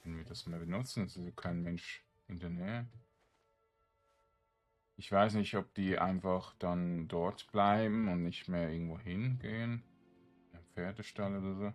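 A man with a low voice talks through a video game as a let's play host. His commentary is casual and comes in short, murmured remarks, as if to himself. Faint music plays behind him. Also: fairly even intonation, unhurried.

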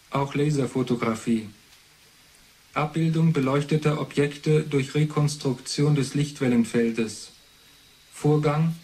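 An actor playing an impersonal information system, reciting entries in a formal, matter-of-fact way for a radio play. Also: male voice, mid-range voice, darkish tone, close to an everyday voice, poor audio.

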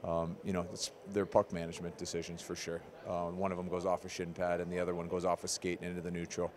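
Give me a head coach in his forties speaking low and even, answering postgame questions. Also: crowd noise. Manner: measured, analytical, many ums. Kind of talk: postgame press interview. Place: arena corridor, indoors. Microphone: handheld interviewer mic.

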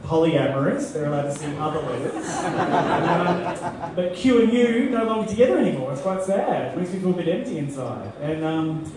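Deadpan woman doing stand-up. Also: fairly low pitch, noisy background. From Australia. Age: middle-aged. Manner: deadpan, halting with many ums. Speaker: stand-up comedian. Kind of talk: stand-up comedy set. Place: comedy club stage before a live audience. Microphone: handheld mic, filmed from far in the crowd. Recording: echoey club audio from far off. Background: audience laughter.

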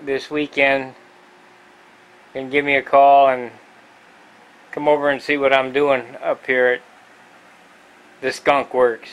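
A man giving a casual, rambling tour of his home model-airplane workshop, halting with fillers and clearing his throat, recorded on a handheld camera. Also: mid-range voice, level tone, poor audio. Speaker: model airplane builder, channel host. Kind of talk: casual shop tour vlog. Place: cluttered home hobby workshop, indoors.

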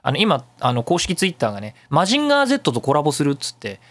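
A man's voice: fairly high pitch, wildly swooping pitch, fast talker, fairly bright tone, no room sound. A man hosting a casual solo radio talk, chatty and emphatic as he explains things step by step. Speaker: radio show host. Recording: music behind the voice. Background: background music.

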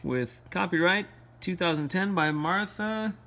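A man reading a picture book aloud to children, playfully, pausing for casual questions and asides. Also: high-pitched, sharp tone. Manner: playful, pauses to ask questions. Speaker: read-aloud storyteller.

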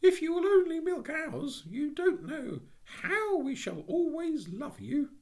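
A man's voice: very animated, takes it slowly, a little mellow, exaggerated voice. A man doing an eager little girl. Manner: high, breathless, eager persuasion. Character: earnest, eager young girl, kind and pleading.

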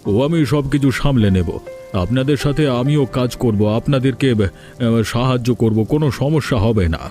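A man's voice: mid-range voice, moderate pace, warm tone, soft background music, natural voice. A man voicing a polite, humble stranger seeking shelter in a Bengali cartoon. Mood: pleading.

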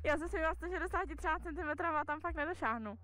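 High-voiced young woman, eager chatter. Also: animated, background noise. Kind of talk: casual chat. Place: outdoors by a motorcycle.

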